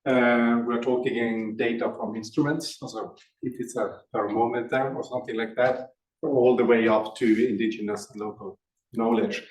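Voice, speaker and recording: A man in a working group discussion, speaking in a measured, halting way with frequent pauses. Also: medium pitch, fairly even intonation, darkish tone. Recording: echoey room, distant mic.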